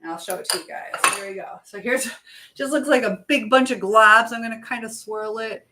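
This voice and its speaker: A woman's voice: a little high, normal speed, pushed and bright, a little hiss or hum. A woman hosting a casual live chat, rambling as she reads out and answers viewer comments. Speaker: livestream host. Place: indoors at home, in an echoey room. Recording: echoey room.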